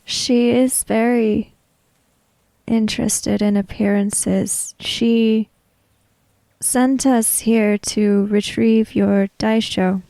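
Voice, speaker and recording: A woman playing a calm, courteous female samurai in a tabletop game, measured, formal and reserved as she questions a spirit. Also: on the high side, creaky, dry recording, exaggerated voice, poor audio. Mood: calm, probing.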